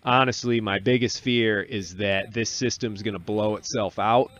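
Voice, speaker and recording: A man in his forties giving a relaxed, casual voice-over on a sailing clip from a small cabin studio, talking into a desk mic. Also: on the low side, moderate pace, dull audio. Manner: relaxed, explanatory, with restarts. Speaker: solo sailor vlogger. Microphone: desk microphone on boom arm.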